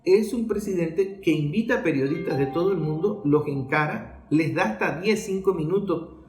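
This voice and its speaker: A man's voice: a little high, darkish tone. A man with a Venezuelan accent giving political commentary as a speech, posing rhetorical questions and answering them. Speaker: journalist giving a political talk. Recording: echoey room.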